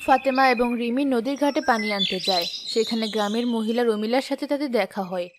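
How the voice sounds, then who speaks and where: A woman's voice: on the high side, fairly quick, noisy background. A woman giving scripted narration as storyteller of a cartoon tale.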